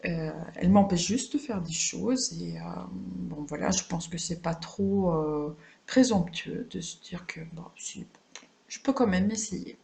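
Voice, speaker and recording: A woman chatting casually and without a script on a personal craft vlog, reflective and self-deprecating, often pausing to find her words. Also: lowish voice, creaky, dark tone. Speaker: craft vlogger.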